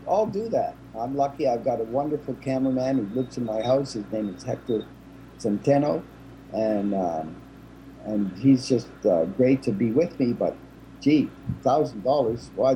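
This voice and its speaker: A man chats casually as an interview guest, jokey and animated. His voice is mellow and warm at an average male pitch. A faint hiss of background noise sits under the video call. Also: poor audio. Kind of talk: casual chat.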